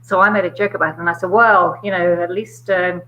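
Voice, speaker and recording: An older woman with a London middle-class accent, chatting casually about politics with many fillers and quick laughs. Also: fairly low pitch, steady tone, lo-fi sound, slight background noise. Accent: London middle-class English. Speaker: guest, former MP.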